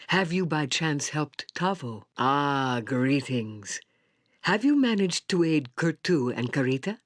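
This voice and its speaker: An actress playing a grave, wise old village seer in a fantasy video game, with a husky voice and formal, solemn speech. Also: female voice, extremely low pitch, dry recording, studio quality, heavily acted.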